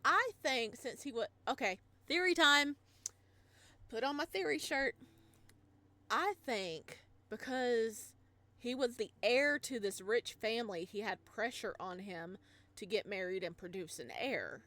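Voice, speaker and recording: A woman's voice: mid-range voice, bright, forceful tone. A woman streamer giving casual commentary, chatty and thinking aloud with fillers. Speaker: let's play streamer.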